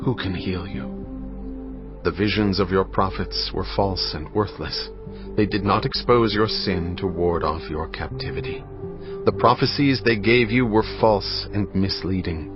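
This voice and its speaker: A man narrating scripture in a dramatized style, with a solemn, measured, grave delivery. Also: sits low. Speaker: Bible narrator. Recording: slightly muffled, faint music behind.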